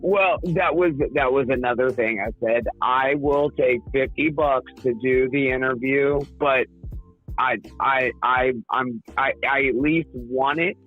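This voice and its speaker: A man's voice: medium pitch, a little slow, soft background music. Male call-in guest, profane and blustery, voice worn from singing, phone-quality audio. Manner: profane, blustery, easily riled. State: strained voice from singing. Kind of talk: casual comedic banter. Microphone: remote call. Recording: tinny phone-like call line.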